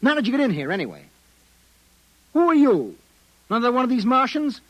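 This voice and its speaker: High, husky, excitable American everyman. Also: fairly quick, full character voice, rough recording. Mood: incredulous, suspicious. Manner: slangy, urgent, pleading. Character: excitable, suspicious American everyman, desperate to warn. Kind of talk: 1950s radio drama acting. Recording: vintage 1950s radio recording.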